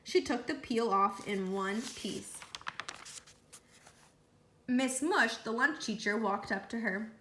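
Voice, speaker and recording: A woman reading a children's book aloud as a storyteller, with animated delivery. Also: on the high side, slow, pauses often, a little hiss or hum. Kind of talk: read-aloud storytelling narration. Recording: roomy echo.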